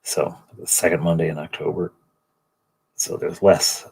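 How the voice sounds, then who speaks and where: A man hosting a livestream, chatting casually and muttering quiet asides to himself as he paints. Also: sits low, flat delivery, a little slow, creaky, husky, poor audio. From Canada. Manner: soft, muttering to himself, drifting between quiet asides and chat. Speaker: livestream host painting miniatures. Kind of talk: casual chat while painting.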